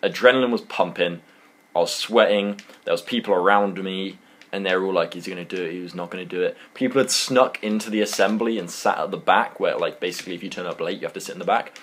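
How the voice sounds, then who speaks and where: A young British man telling a personal story in a casual, rambling, animated and slangy way. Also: low-pitched, fast. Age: young adult.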